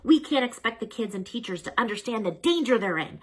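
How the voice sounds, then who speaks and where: A woman voicing a self-important, scheming cartoon bunny boss with a dramatic, conspiratorial manner and drawn-out emphasis. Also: on the high side, very animated, breathy edge, pitched above the performer's own voice. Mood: grave, conspiratorial.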